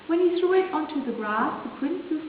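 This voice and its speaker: A woman's voice: sits high, expressive, fairly quick. A woman storyteller reading a fairy tale aloud with expression. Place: large reverberant museum room. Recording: very echoey hall, tinny sound with hiss.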